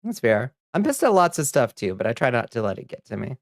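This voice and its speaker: Guy streaming a game and reading chat out loud, rambly and laughy, bit sniffly, middle-pitched voice, dry mic. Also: very animated, creaky, dry recording. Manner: rambling, chatty, quick laughs, reads chat aloud. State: a little sniffly. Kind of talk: casual chat while gaming.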